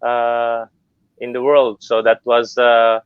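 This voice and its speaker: Hesitant Turkish-born man, smooth voice. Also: mid-range voice, monotone, slow pace, no echo. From Turkey. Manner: hesitant, many uh fillers and restarts. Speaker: guest business owner. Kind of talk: online interview. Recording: dull lo-fi sound.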